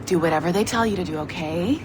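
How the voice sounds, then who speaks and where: An actress playing a chipper, overachieving office manager in a video game, with a perky pep-talk manner. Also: female voice, fairly low pitch, fast, noisy background, lightly acted. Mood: forced cheer.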